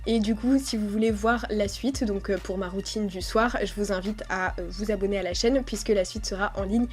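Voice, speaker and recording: A young woman with a stuffy, nasal voice from a cold, narrating her skincare routine as a relaxed, chatty voice-over with frequent hesitations. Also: mid-range voice, fairly quick, soft background music. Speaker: beauty vlogger. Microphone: voice-over recorded separately.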